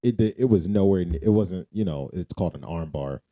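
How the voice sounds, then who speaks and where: A man's voice: deep voice, expressive, a little fast, mellow, no room sound. A man co-hosting a podcast, talking casually about faith and repeating phrases for emphasis. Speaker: podcast co-host.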